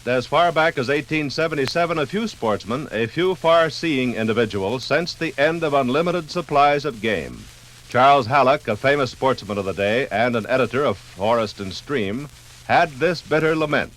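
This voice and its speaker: A man's voice: mid-range voice, expressive, normal speed, fairly bright tone, poor audio. A man narrating a scripted 1950s radio documentary in a solemn, rhetorical way. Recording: 1950s radio recording, faint background noise.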